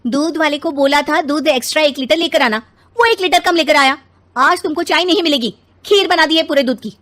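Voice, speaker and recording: A woman voicing an exasperated, sharp-tongued young mother and wife in a story, scolding emphatically. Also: high voice, fairly quick, pitched above the performer's own voice. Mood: irritated.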